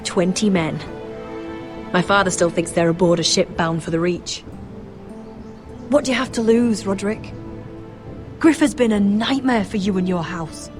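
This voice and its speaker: A woman's voice: medium pitch, moderate pace, pauses often, music in the background, natural voice. An actress playing a proud young noblewoman in a fantasy video game, speaking with defiant, pleading intensity. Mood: urgent, persuasive. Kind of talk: video game dramatic acting.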